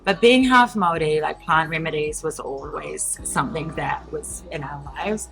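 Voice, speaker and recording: A woman's voice: low voice, moderate pace, creaky, fairly bright tone, faint music behind, poor audio. A woman in her thirties with a New Zealand accent, chatting as an interview guest with many fillers and frequent laughs. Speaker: guest, entrepreneur. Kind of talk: casual interview talk.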